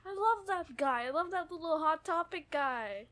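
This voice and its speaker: A woman's voice: extremely high pitch, expressive, normal speed, crowd noise. A woman co-hosting a podcast, chatting casually and gushing as a fan. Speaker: podcast co-host.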